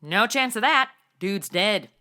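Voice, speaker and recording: A woman's voice: mid-range voice, expressive, slow, bright, forceful tone. A woman acting as a sassy, flippant modern young woman in a comedy audio drama, sarcastic and exclamatory. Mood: flippant, complaining.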